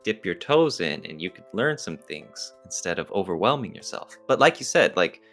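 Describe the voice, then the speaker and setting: A man's voice: deep voice, big pitch swings, normal speed, fairly bright tone. A man speaking casually as a podcast co-host in a conversational discussion. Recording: music mixed under the voice. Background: music.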